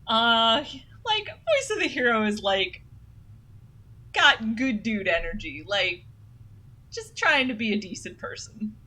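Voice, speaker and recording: A young woman streaming a game, chatty and playful, reacting quickly to the story. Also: a little high, big pitch swings, sharp tone. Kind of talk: live game commentary. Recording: voice with game music behind.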